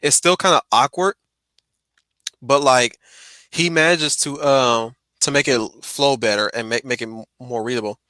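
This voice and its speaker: A man's voice: mid-range voice, moderate pace, pushed and bright, clean tone, dry recording. A man chatting casually on a livestream as he reacts to a video, often repeating words as he finds his way. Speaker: stream host.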